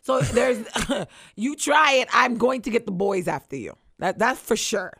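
A woman in her forties co-hosting a casual chat, brash and teasing, laughing loudly. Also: fairly high pitch, expressive, a little slow, creaky, slightly rough, no echo, studio quality. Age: 40s. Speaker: guest co-host.

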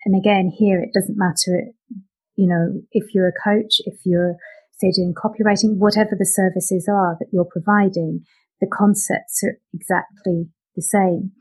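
A woman's voice: medium pitch, fairly even intonation, moderate pace, a little mellow, slightly rough, rough recording. A woman hosting an interview, reflecting on the guest's points and thinking aloud with restarts. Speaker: podcast host. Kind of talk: conversational interview.

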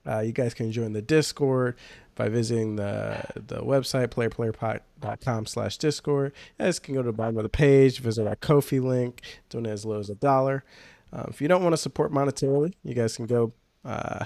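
A man's voice: mid-range voice, normal speed, gravelly, darkish tone, dry recording. A man hosting a casual podcast, slangy and laid-back, sounding nasal from a cold. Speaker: podcast host.

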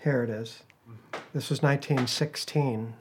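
A man speaks in an everyday conversational way, explaining what he has been researching. His voice sits at an ordinary middle pitch, and he pauses with fillers and small asides as he goes. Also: fairly even intonation, darkish tone, slight background noise. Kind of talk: casual explanation.

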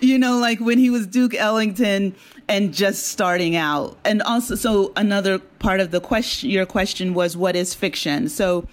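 A woman's voice: medium pitch, normal speed. A woman guest in an interview, a writer explaining her story conversationally and thinking aloud with asides. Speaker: audio drama writer and creator, guest.

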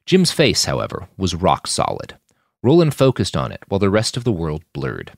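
Very deep, expressive male audiobook narrator. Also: moderate pace, gravelly, no room sound, clean studio sound. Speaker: author narrating fiction.

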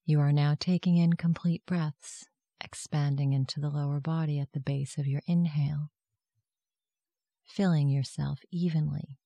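A meditation guide giving gentle, soft-spoken, measured instructions in a scripted guided meditation. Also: mid-range voice, fairly even intonation, creaky, no echo, muffled recording.

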